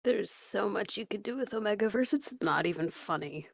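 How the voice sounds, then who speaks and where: A woman's voice: medium pitch, lively intonation, moderate pace, creaky, no echo, studio quality. A young woman in casual chat as podcast co-host, wry and opinionated.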